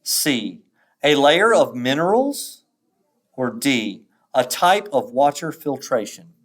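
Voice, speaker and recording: A male teacher reads a test aloud at a slow, careful pace. His pitch moves up and down noticeably as he sets out each question and option, pausing between them. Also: sing-song. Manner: deliberate, instructional, pausing between answer choices.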